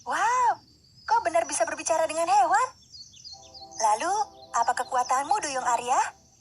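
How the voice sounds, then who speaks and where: Woman voicing an eager, high-pitched fairy-tale princess. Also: moderate pace, a little hiss or hum, lightly acted. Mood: amazed, excited. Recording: music under the voice.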